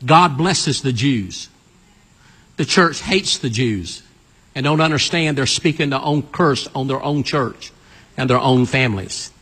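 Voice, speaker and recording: A man's voice: mid-range voice, measured pace, a bit bright, husky. A man in his sixties preaching a sermon, calling on the congregation to respond and quoting scripture in old-style wording. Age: 60s. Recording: long reverb in a big hall.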